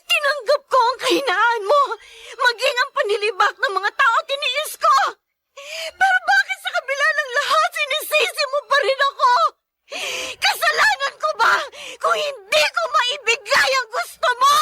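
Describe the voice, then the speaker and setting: A wronged, long-suffering woman of radio melodrama. Her voice is extremely high and piercing, tearful and pleading, overwrought in every phrase, and recorded close and dry. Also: normal speed, sharp tone, dry recording, full character voice. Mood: anguished, tearful. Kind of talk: melodramatic Filipino radio drama acting.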